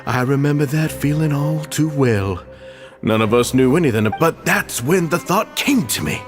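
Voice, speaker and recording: A man's voice: fairly high pitch, animated, normal speed, natural voice. A man voicing a deferential, earnest head of a community society in a video game, formal and polite in manner. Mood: earnest, reflective. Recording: music behind the voice.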